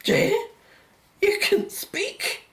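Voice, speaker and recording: Anxious mother, slow, emotive delivery. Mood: overwhelmed relief. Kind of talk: radio drama acting.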